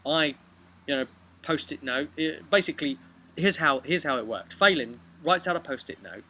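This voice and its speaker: Bright-voiced young British man, casual storyteller. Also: a little high, animated, normal speed. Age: young adult. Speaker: game master recapping a tabletop role-play session. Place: outdoors on a bench beside a brick house. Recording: noisy outdoor recording with traffic ambience.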